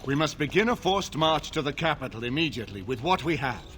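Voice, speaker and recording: A man's voice: on the high side, very animated, fast, fairly bright tone. An actor playing a commanding, courteous older nobleman in a fantasy video game, speaking formally and with stately authority. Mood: stern, courteous.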